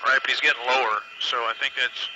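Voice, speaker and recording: A man, a military helicopter pilot, chatting calmly and matter-of-factly over a headset intercom from the cockpit. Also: medium pitch, fast talker, dull audio. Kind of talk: cockpit radio and intercom chat. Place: inside a helicopter cockpit in flight. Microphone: aviation headset. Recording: noisy aircraft intercom with engine drone.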